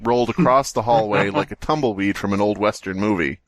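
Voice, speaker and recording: A man's voice: lowish voice, brisk. An irreverent, sweary man co-hosting a podcast, reading a horror story aloud and joking around it. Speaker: podcast co-host.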